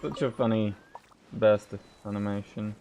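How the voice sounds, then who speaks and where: A man's voice: lowish voice, a little slow, dark tone, faint music behind. A man giving casual, sweary gameplay commentary as a streamer. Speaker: game streamer.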